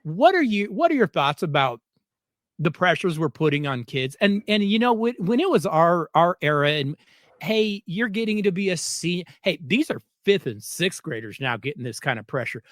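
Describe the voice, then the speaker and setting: A man's voice: on the high side, big pitch swings, moderate pace, fairly bright tone, dry recording, studio quality. A man hosting a casual interview, talking emphatically and repeating phrases to drive points home. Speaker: podcast host.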